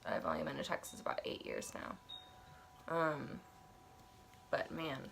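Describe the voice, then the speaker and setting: Young woman, stuffy nose from allergies, low-ish voice, rambling bedroom chat, slight room hiss. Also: very animated, slow, creaky, a bit bright. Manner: chatty, wandering asides, thinking aloud. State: congested from allergies. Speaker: tarot and oracle deck reviewer. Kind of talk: casual unboxing chat with read-aloud passages. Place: cluttered bedroom, indoors.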